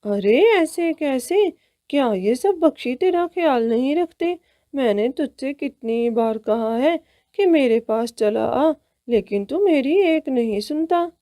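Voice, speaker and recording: A woman's voice: high-pitched, expressive, slow pace, mellow, no echo, clean studio sound. A woman voicing a fussing, dramatic elderly aunt bird who nags and dotes in a cartoon. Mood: anxious fussing. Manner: wailing, dramatic, nagging.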